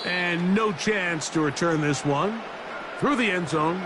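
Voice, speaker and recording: A man's voice: sits high, slow. A man with an American accent calling American football play-by-play as a game commentator. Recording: loud crowd noise, game audio in background.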